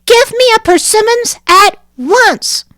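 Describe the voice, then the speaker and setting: Bossy stamping little girl, shrill and loud, woman reading it out. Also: sing-song, slow, no room sound, clean studio sound, full character voice. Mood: demanding. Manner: shrill, demanding.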